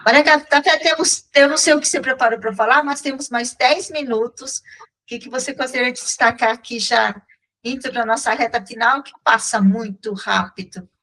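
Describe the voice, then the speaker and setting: Brazilian woman hosting a radio talk, fairly high voice, chatty, full of fillers. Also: normal speed, bright, forceful tone, low-quality recording. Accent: Brazilian Portuguese. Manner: chatty, hesitant with many fillers. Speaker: radio host.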